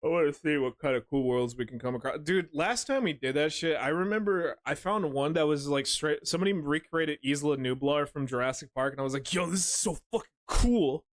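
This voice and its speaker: A man's voice: on the high side, a little fast, few pauses, no echo, clean studio sound. Rambling, foul-mouthed male streamer. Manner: rambling, profane, jokey storytelling. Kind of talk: casual gaming stream chat.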